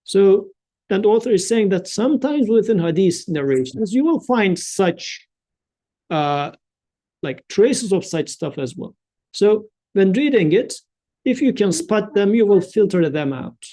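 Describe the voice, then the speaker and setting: A man with a high but warm, mellow voice explains a text to a small study group. He talks in plain, conversational terms and keeps linking ideas with "so" and "like". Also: animated, unhurried.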